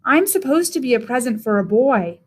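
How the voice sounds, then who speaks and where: A woman's voice: a little high, lively intonation, brisk. A woman voicing a timid, nervous toy backhoe who sounds unsure of itself.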